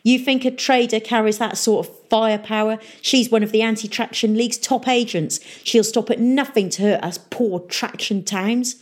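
A woman's voice: on the high side, steady tone, normal speed, hardly any pauses, pitched above the performer's own voice, lightly acted. A woman voicing a coarse, swaggering male pirate mayor who snarls and grunts. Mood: boastful, menacing. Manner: snarling, hissing, gruff.

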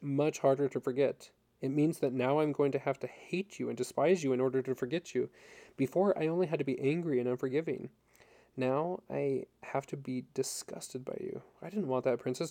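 A man's voice: mid-range voice, normal speed, warm tone, close to an everyday voice. A man voicing a wounded, bitter man in a story, speaking with steely, anguished insistence to the woman he loves. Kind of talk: dramatic audiobook character reading.

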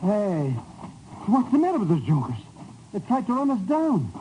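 An old, noisy radio recording carries a high male voice that swings widely in pitch. It is a wily European smuggler, excitable and theatrical, wheedling and protesting in 1950s radio drama fashion. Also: normal speed. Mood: alarmed.

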